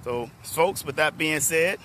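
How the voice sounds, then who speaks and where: A middle-aged man from Washington, D.C., a motivational speaker, talking hoarsely and chattily to viewers on a phone held at arm's length outdoors. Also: fairly high pitch, measured pace, background noise. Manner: relaxed, chatty, addresses viewers by name. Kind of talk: live-streamed talk to viewers. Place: outdoors in a parking lot under trees.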